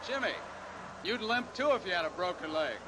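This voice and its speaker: A man's voice: sits high, animated, background noise. Joking man hosting a football pregame. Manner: joking, quick banter. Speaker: pregame show host. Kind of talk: studio sports broadcast.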